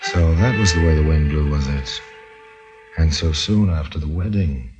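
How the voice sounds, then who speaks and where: An actor as a wry, knowing narrator telling a mystery story on old-time radio. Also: male voice, a bass voice, normal speed, over music. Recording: muffled old radio recording.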